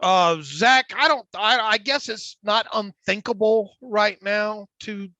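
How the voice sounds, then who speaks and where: Male podcast co-host with a stutter, raspy smoker's gravel, keeps restarting words; dry, muffled sound. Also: high voice, slow pace, sharp tone, no room sound. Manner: frequent restarts and repeated words. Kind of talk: casual sports chat.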